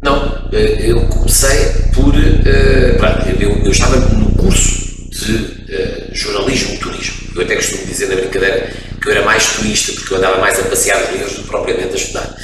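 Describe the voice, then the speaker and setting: Man, middle-aged, European Portuguese accent, grew up in France, radio broadcaster, rambling storyteller, very echoey room, clear background noise. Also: fairly low pitch, fairly even intonation, brisk, distant mic. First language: French. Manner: expansive storyteller, frequent hesitations. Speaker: radio broadcaster, interview guest. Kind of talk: casual interview, reminiscing.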